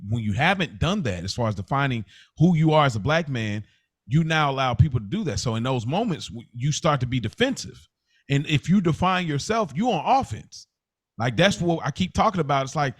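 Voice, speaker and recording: A man's voice: mid-range voice, no echo, studio quality. A man co-hosting a casual podcast, making points emphatically and building them up through repetition. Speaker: podcast co-host.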